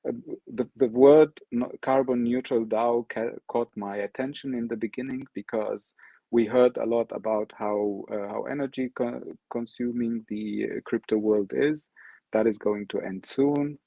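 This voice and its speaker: A man's voice: medium pitch, steady tone, creaky, no room sound. Guest presenter, male, Arabic as first language; hesitant, restarts often; dry slightly muffled sound. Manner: halting, searching for words, frequent restarts. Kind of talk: online presentation talk.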